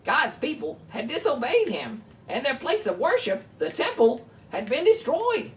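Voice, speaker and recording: A high-pitched woman hosts a Bible lesson for children. Her delivery swings up and down in a very animated way, with simple explanations. She sounds a little way from the microphone. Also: normal speed, distant mic, a little hiss or hum. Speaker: children's Bible show host. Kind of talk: children's Bible teaching, chatting with a puppet.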